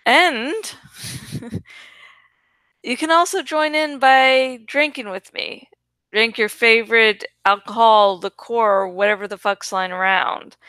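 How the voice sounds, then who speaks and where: A woman's voice: fairly high pitch, slow, pushed and bright, smooth, no room sound. A woman host chatting casually to her viewers, swearing freely and laughing between remarks, while not feeling fully well. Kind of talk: casual chat to camera.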